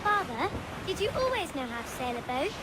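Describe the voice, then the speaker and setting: High-voiced, curious English girl. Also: very animated, fairly quick, heavy background noise. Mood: curious, cheeky. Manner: inquisitive, matter-of-fact, precise. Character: bright, curious, forthright young girl, sea captain's daughter. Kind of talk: naturalistic video game cutscene acting. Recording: loud music over the dialogue.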